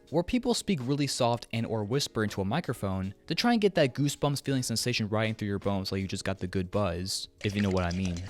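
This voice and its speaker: A man hosting a scripted video essay as a commentator, with a sarcastic, joking manner and casual swearing. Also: lowish voice. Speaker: YouTube commentator and host.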